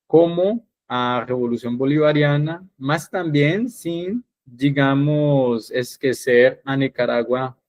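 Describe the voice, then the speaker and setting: A Colombian man, a native Spanish speaker, talks politics in a mix of Portuguese and Spanish, leaning on fillers. He has a mid-pitched voice. The sound is dry and close. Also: dry recording. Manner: mixes Spanish into his Portuguese, with frequent "digamos" fillers. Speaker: guest analyst. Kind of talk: political commentary.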